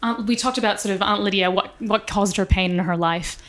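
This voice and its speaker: A woman's voice: medium pitch, a little fast, fairly bright tone. Eager woman co-host asking questions. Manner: eager questions, frequent murmured agreement. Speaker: podcast co-host. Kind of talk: casual chat.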